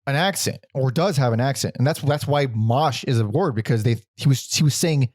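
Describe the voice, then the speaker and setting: A man's voice: mid-range voice, a little fast, darkish tone, dry recording, clean studio sound. A man hosting a podcast, chatting casually about music, rambling with frequent laughs and swearing. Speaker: podcast host.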